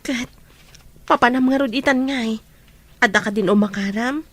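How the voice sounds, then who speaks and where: An actress plays a concerned friend in a romance drama, questioning and caring. Her voice is clearly high-pitched. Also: moderate pace, close to an everyday voice. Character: concerned, questioning female friend caught between two friends.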